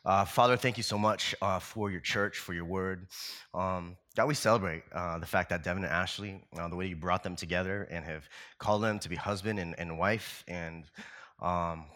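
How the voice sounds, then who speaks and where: A man's voice: deep voice, steady tone, normal speed, bright, forceful tone. A man with a scratchy voice, a pastor giving a loose, conversational sermon full of fillers and restarts. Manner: casual, loose delivery with many fillers and restarts.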